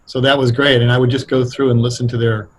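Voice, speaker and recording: Guy with a husky voice, chatting in an interview as a writer, kinda halting and thoughtful. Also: mid-range voice, little pitch movement. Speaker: radio drama writer, interview guest. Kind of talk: casual explanatory interview talk.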